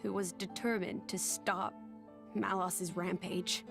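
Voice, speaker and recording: Slow-speaking, solemn, gentle young game heroine. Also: female voice, on the low side, flat delivery, gravelly, over music. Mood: solemn, reflective. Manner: measured, earnest, solemn storytelling. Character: gentle, solemn young woman, an ancient living weapon recounting her past. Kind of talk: video game cutscene voice acting.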